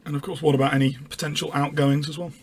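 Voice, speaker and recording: A man's voice: on the high side, level tone, fast talker, breathy edge. A man working as an interviewer, putting prepared questions to a guest.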